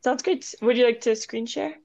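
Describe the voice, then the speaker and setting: A woman's voice: a little high, normal speed, creaky, darkish tone. A woman hosting an informal meeting over a video call, speaking in a tentative, hesitant manner. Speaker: meeting host. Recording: muffled video call audio.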